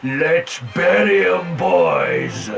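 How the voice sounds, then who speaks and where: An actor voicing a gloating, bullying monster henchman in a video game, sneering theatrical insults in a gravelly voice. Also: fairly high pitch, wildly swooping pitch, drawn-out words, a bit bright, faint music behind, exaggerated voice. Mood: sneering menace. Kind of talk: video game villain acting.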